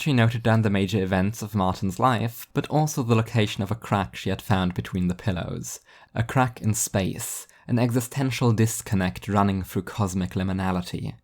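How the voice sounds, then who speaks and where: Narrator for a light novel, low register, dry and wry, reading scripted prose. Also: a little mellow. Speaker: author reading own story as narrator.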